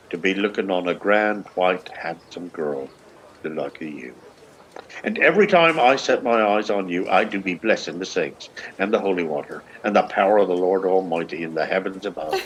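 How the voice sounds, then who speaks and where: A low, wildly swooping Irish voice as an old beggar man in a radio play. He is theatrical and flowery, sly and sharp-tongued, over faint background noise. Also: expressive, moderate pace, rough recording. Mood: coaxing. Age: older. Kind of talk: radio play acting of rural Irish dialect.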